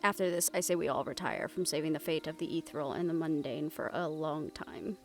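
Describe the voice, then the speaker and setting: A woman's voice: sits low, brisk. A woman playing a young monster hunter in an intimate audio roleplay, casual and sweary, wry, teasing and tender with a partner. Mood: weary, tender. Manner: casual, wry, sweary, breaks into soft chuckles. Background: music under the voice.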